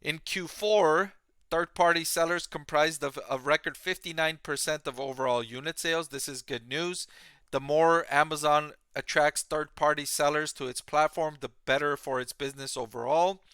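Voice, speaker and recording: A man presenting explanatory financial commentary as an analyst, speaking in measured, emphatic, deliberate phrases. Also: on the high side, steady tone, a bit bright, clean tone, no echo. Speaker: financial analyst and video host. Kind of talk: explanatory commentary on an earnings call.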